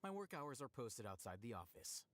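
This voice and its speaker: An actor playing an aloof, dry young scholar-scribe in a video game, speaking in a measured, matter-of-fact way. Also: male voice, mid-range voice, big pitch swings, fairly bright tone, dry recording. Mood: cool, dry. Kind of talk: scripted video game character voice lines.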